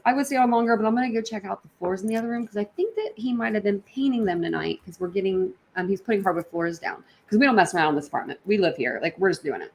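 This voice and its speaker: A woman's voice: mid-range voice, racing, few pauses, a little hiss or hum. A woman hosting a livestream, chatting casually with viewers in a rambling, informal way full of slang. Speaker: livestream host. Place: indoors in an apartment.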